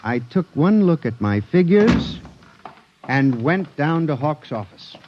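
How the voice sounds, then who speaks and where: Anxious statistician hero of 1950s radio sci-fi; highly expressive, earnest, dulled vintage recording. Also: male voice, slow, background noise. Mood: grave, reflective. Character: earnest, anxious male statistical clerk recounting a nightmare. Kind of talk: 1950s radio drama acting and narration. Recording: muffled vintage radio recording with music behind.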